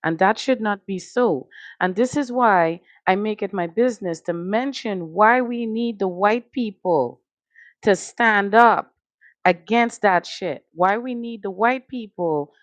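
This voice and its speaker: A woman's voice: mid-range voice, measured pace, no room sound. Lady hosting a panel, fired up and direct, dry close mic. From Trinidad and Tobago. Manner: passionate, direct, rallying. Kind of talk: casual panel discussion.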